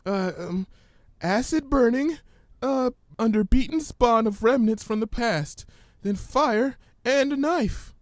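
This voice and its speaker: A man's voice: very high voice, takes it slowly, no room sound, studio quality, pitched above the performer's own voice. A man voicing a timid, apologetic young female cartoon pony, meek and hesitant with a stammer. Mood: trembling, frightened.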